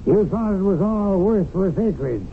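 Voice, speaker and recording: An American actor in a radio mystery drama playing an indignant, gullible, greedy property buyer, blustering and emphatic. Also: male voice, sits high, expressive, measured pace, gravelly, exaggerated voice. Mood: triumphant scheming. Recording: muffled, noisy 1970s radio broadcast recording.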